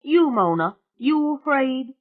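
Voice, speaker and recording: A woman voicing a brash young country woman who chatters loudly and gushes. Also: high-pitched, expressive, pitched above the performer's own voice, full character voice. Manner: loud, gushing, rapid chatter. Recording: tinny phone-like sound.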